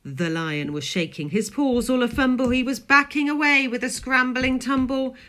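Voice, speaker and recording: A woman reading a children's storybook expressively, like a primary school teacher, with dramatic, drawn-out emphasis. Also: sits high, fairly bright tone, slight background noise.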